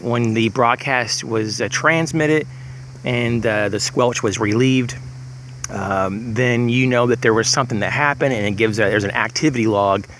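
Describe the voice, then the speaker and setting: A man giving an informal, unscripted gear demonstration in a halting, rambling way, like a hobbyist vlogger. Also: mid-range voice, fairly bright tone. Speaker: prepper vlogger presenting gear. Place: outdoors, in breezy weather. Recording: clear background noise, outdoor ambience.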